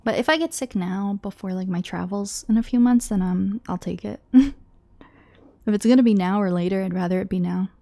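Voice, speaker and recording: A young woman chats with her stream audience, her voice congested and slightly nasal from an oncoming cold. Her tone is warm and mellow, with a gravelly fry running through it. She rambles in a relaxed way, often stopping to restart a sentence. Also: medium pitch, normal speed. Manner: chatty, rambling with repeated restarts and quiet asides. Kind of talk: casual chat with stream chat.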